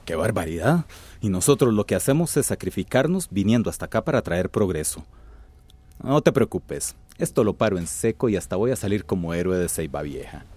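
An arrogant corporate boss in a radio drama. His voice sits low, with a mellow, dark tone. He sounds self-important and curt, like someone used to giving orders. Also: sing-song, brisk, husky, dry recording, full character voice. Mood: pompous, scheming. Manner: curt, self-assured, imperious. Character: arrogant, scheming male company boss, sweet-talking and curt. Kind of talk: radio soap opera acting.

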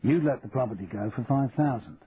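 A man's voice: medium pitch, a little fast, lightly acted. A man with a Cockney accent voicing a shrewd, confident shopkeeper who bargains in a dry, teasing manner. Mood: wry. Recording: tinny phone-like sound with faint hiss.

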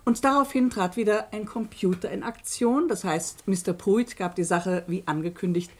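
An actress playing a radio play presenter who explains a case in a pointed, emphatic, ironic way. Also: female voice, medium pitch, moderate pace, darkish tone, close to an everyday voice. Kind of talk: German radio drama narration addressed to listeners.

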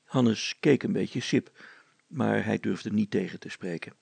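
A man's voice: fairly low pitch, a little slow, vocal fry, darkish tone, husky, dry recording. A man narrating a scripted children's story as its storyteller.

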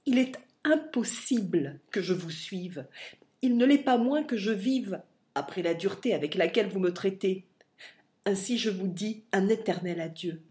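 A woman voicing a young man who pleads in despair with his father, tearful and high-strung in an audiobook reading. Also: animated, normal speed, darkish tone, lightly acted.

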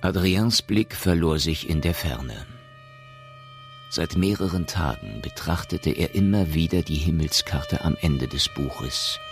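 An actor as the sober, measured narrator of a science fiction radio drama, reading scripted storybook narration. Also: male voice, very deep voice, lively intonation, music in the background, exaggerated voice.

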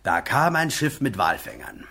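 An actor playing a simple, earthy old war veteran in a German radio play, rambling in a folksy, plain-spoken way. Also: male voice, deep voice, wildly swooping pitch, normal speed, creaky, pushed and bright, a little hiss or hum, heavily acted. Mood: eager, defensive.

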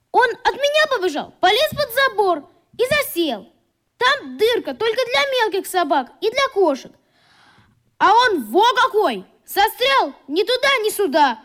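An actress playing a scrappy, excitable young schoolboy in a radio play, blurting out colloquial speech defiantly. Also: female voice, very high-pitched, heavily acted.

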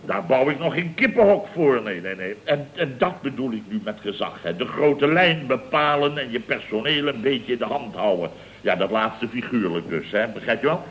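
A man's voice: mid-range voice, lively intonation, moderate pace, a bit bright, slight background noise, natural voice, rough recording. An actor playing a boastful, self-important male building contractor with a Dutch accent, telling tales in a pompous, stammering, chuckling way in a radio comedy serial. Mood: blustering. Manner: pompous, stammering repetitions, chuckling, mock indignation.

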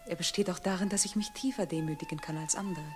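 An actress in a radio drama playing a proud, imperious princess passionately in love, often dropping into an intimate whisper. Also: female voice, on the low side, lively intonation, fast, lightly acted. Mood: proud, commanding. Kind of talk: classic German radio drama acting. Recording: radio play with music behind.

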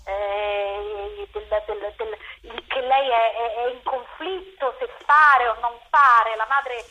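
A woman, a theatre director explaining her work in an interview in a reflective, halting way with repeated words. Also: a little high, fairly even intonation, bright, forceful tone, a little hiss or hum, rough recording.

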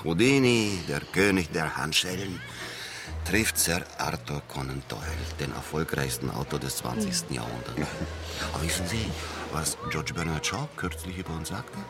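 An actor in a German radio drama playing a boastful, famous escape artist with a showy, theatrical manner. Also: male voice, very deep voice, moderate pace. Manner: showy, self-satisfied, theatrical. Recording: music behind the voice.

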